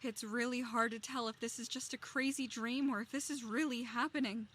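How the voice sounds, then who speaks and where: A woman voicing a tentative visual novel protagonist in a hushed way. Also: a little high, fairly even intonation, a little fast, pitched above the performer's own voice. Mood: bewildered, uneasy. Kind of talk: visual novel character dialogue. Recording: quiet voice under background music.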